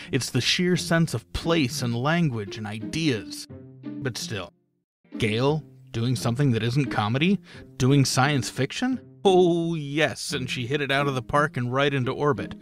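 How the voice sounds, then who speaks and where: A man giving an enthusiastic, conversational and dramatic promotional pitch as an audio producer. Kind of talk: enthusiastic crowdfunding promo narration. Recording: music bed behind voice. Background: background music.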